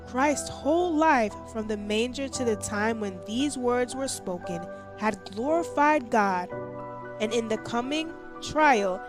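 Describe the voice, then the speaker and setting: High-voiced woman reading a devotional. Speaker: devotional reader.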